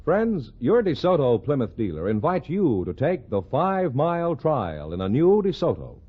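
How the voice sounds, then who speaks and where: An American man announces a 1950s radio commercial. His pitch swings in a lively way and his voice has a husky edge. The recording is dull, like an old broadcast. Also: moderate pace. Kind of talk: 1950s radio advertising read.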